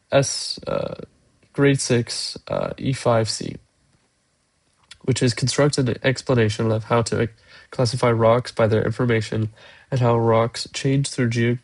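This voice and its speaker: A man's voice: mid-range voice, no echo. A young man talks through a lesson he made. His nose is blocked from a cold, so his voice sounds stuffy and congested. He speaks with many hesitant "uh"s into a computer mic in a dry, close sound. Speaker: education student presenting a lesson. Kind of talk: screen-recorded lesson walkthrough. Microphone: computer mic, voice-over on screen recording.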